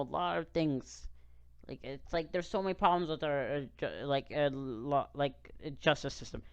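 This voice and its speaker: Young male reaction host, halting and rambling, smooth voice, dry lo-fi mic. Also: a little high, no echo. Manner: rambling, halting, many fillers and restarts. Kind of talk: casual commentary over a clip.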